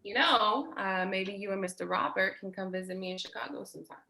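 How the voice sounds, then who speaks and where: A woman's voice: mid-range voice, fairly quick, creaky, sharp tone. A woman acting in a play reading as a chatty, affectionate sister who teases in a casual, breezy way. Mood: teasing. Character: chatty, teasing, affectionate sister making plans.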